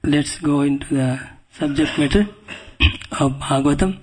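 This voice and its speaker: A man with an Indian accent giving a devotional scripture lecture as a guest preacher, speaking humbly and haltingly and often clearing his throat. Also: on the high side, a little mellow, low-quality recording. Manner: humble, deferential. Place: speaking at a temple.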